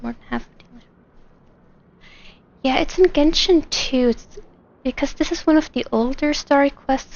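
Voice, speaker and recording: A woman's voice: sits high, creaky, a little mellow. A woman chatting casually and a little hesitantly while livestreaming a game, on a dull lo-fi microphone. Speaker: game streamer. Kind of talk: casual livestream chat over gameplay. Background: faint game music.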